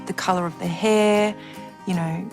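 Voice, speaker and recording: A woman's voice: lowish voice, steady tone, unhurried, faint music behind. A woman explaining things in a casual interview, in a reflective way. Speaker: singer, interviewee.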